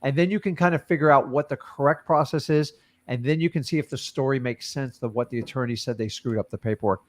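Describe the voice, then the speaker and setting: A man's voice: mid-range voice, fast. A man hosting a coaching call, giving blunt and casual advice to students over a video call. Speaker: host and wholesaling coach.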